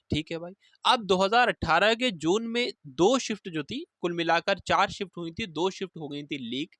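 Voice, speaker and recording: A man's voice: a little high, bright, forceful tone, dry recording. A man, explaining exam news to students informally and emphatically, repeating key phrases. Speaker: exam-preparation video host.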